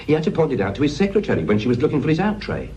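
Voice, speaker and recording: A man's voice: mid-range voice, huge pitch swings, racing, dark tone, muffled recording, slight background noise. A man playing a keen, insolent younger detective with a British accent, urgent and needling in a radio crime drama. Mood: eager, reasoning.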